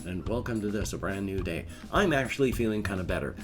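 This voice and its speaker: A man's voice: on the low side, fast. Nasal, wheezy older man vlogging. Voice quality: nasal, congested. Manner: rambling, self-mocking with flat "yay"s. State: wheezy from a cold. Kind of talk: casual solo vlog. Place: at home in his chair. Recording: guitar music in background.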